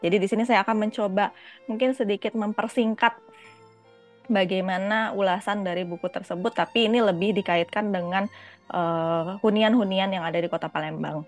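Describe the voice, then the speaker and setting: A woman lecturer with a cold and a stuffy nose, giving an online lecture with many fillers, and clearing her throat often. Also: mid-range voice, steady tone, normal speed, faint music behind.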